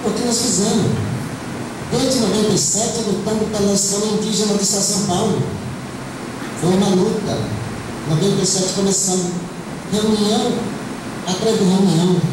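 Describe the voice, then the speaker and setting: A middle-aged woman from Mato Grosso do Sul, a native Terena speaker, talks at a round table in a halting way, with frequent pauses and restarts. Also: fairly low pitch. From Mato Grosso do Sul, Brazil. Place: large hall at a conference. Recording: echoey hall, mic at a distance, background noise.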